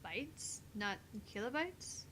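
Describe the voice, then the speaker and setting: A woman's voice: on the high side, sing-song, slow pace, a bit bright, slight background noise, low-quality recording. A woman doing an acted reading of dialogue from a visual novel game. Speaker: voice reader in a visual novel playthrough.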